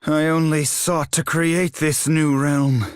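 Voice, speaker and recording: Pompous, high-pitched male self-proclaimed god. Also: level tone, takes it slowly, clean tone, dry recording, studio quality. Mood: mocking, lovesick. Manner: pompous, grandiose, florid. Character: megalomaniac man turned self-proclaimed god, obsessed with a goddess. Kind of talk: theatrical fantasy game acting. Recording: voice over music.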